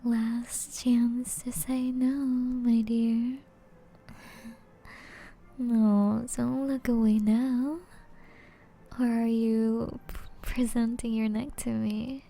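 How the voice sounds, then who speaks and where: A woman playing a flirtatious, seductive female vampire in an intimate ASMR roleplay, breathy and often whispering, with slow teasing endearments. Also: fairly high pitch, flat delivery, dark tone, full character voice.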